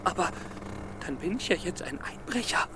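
A man's voice: high-pitched, sing-song, moderate pace, heavy background noise. A man playing a timid, bookish young scholar in a children's radio drama, kindly, earnest and a little hesitant. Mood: anxious, indignant. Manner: hesitant, earnest, slightly fussy.

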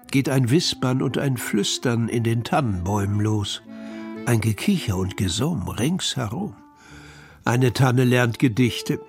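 A man reads verse aloud at a very unhurried pace, his voice sitting at a middle pitch. His delivery is measured and expressive, like a scripted recitation for children's radio. Soft music plays faintly underneath. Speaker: poetry reader.

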